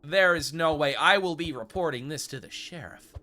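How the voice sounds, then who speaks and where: A man's voice: a little high, normal speed, pushed and bright. A man playing a fussy frontier gun shop owner in gameplay commentary, with a put-on American Old West accent and wordy, mock-formal courtesy. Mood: indignant, blustering. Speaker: gaming video creator.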